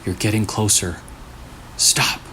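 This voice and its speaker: A man voicing a mysterious, menacing message from a phone in a horror story, with hushed, clipped warnings. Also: on the low side, steady tone, slow, a little hiss or hum. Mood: cold warning.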